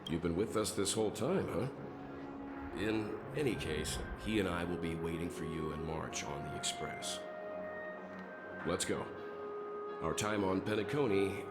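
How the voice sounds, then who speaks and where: An actor voicing a reserved, serious crew veteran in a video game. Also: male voice, low-pitched, measured pace, pauses often. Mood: measured. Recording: roomy echo under loud music.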